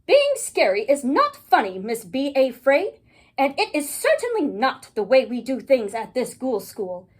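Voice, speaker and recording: A woman's voice: high-pitched, huge pitch swings, measured pace, full character voice. A woman voicing a stern, easily rattled female ghoul school principal in a children's story, with emphatic scolding delivery.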